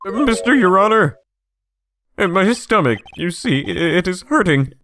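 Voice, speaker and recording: A man's voice: sits high, very animated, measured pace, a bit bright, slight background noise, heavily acted. A man voicing a nervous, bumbling, whiny witness in a video game dub, stammering and flustered as he talks. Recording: music under the voice.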